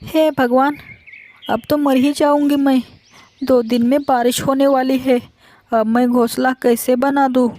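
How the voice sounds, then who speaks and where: A woman's voice: sits high, little pitch movement, measured pace, a little mellow, dry recording, pitched above the performer's own voice. A woman voicing a whining, self-pitying aunt bird who complains dramatically in a children's cartoon. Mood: wailing.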